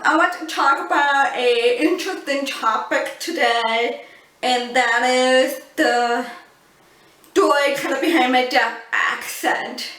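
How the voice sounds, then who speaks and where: A woman in her twenties with a deaf accent, chatting slowly to camera and stressing her sounds strongly with an open mouth. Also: high-pitched, a bit bright, far from the mic. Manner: slow, earnest, with frequent ums. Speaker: vlogger. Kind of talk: casual storytelling to camera. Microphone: built-in camera mic. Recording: roomy, off-mic camera audio.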